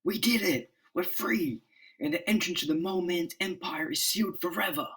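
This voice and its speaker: A man's voice: high voice, normal speed, a bit bright, far from the mic, slight background noise, voice pushed up. A man voicing an earnest, heroic comic-book superhero with bold, declamatory delivery. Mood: triumphant. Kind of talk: dramatic motion-comic voice acting.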